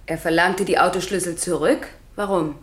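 A woman's voice: lowish voice, fairly even intonation, normal speed, natural voice. Actress as a pointed female prosecutor, echoey room. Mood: pointed, sceptical. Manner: pointed, formal questioning. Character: sharp, formal female public prosecutor. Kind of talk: German radio crime drama acting. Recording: roomy, echoing radio play sound.

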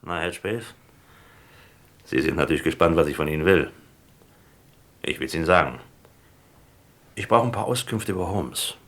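Casting: unhurried, deep-voiced investigator for radio crime drama; sly, probing, deceptively casual. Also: male voice, animated, fairly bright tone, full character voice. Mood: casually coaxing. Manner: probing, slyly casual questioning. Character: shrewd, unhurried male American detective questioning suspects.

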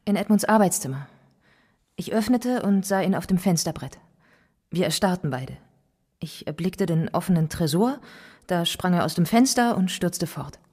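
Husky, self-assured refined wife. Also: female voice, on the low side, expressive, moderate pace, darkish tone. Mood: composed. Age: 30s. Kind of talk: German radio drama acting.

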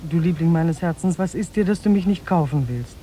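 A woman's voice: on the low side, animated, a little fast, warm tone, close to an everyday voice. An actress playing a devoted, shrewd young slave woman in a 1950s German radio drama, pleading tenderly and theatrically. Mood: coaxing. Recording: noisy 1950s radio recording.

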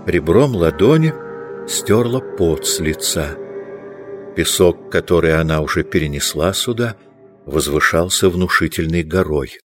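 A man's voice: sits low, lively intonation, unhurried, soft background music. A man reading scripted literary narration as the narrator of an audiobook.

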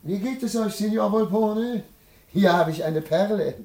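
A man's voice: high voice, measured pace, mellow. An actor playing a greedy, gullible man who fawns theatrically in a classic radio comedy. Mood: fawning, furtive. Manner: fawning, theatrical. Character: greedy, gullible male legacy hunter courting a dying man. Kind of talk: German radio play acting of a classic comedy.

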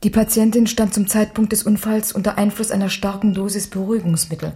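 Mellow, dry-mannered woman doctor played by an actress. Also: medium pitch, little pitch movement, a little fast, close to an everyday voice. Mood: matter-of-fact. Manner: dry, matter-of-fact, probing. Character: brisk, dry, inquisitive female senior hospital doctor. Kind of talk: German radio crime drama acting.

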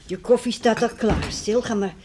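A woman's voice: on the high side, close to an everyday voice. Woman playing patient, homely farm mother. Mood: soothing, practical. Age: middle-aged. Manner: soothing, homely, measured. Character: patient, caring farmer's wife and mother, gently practical. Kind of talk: Dutch radio play acting. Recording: noisy old radio play recording.

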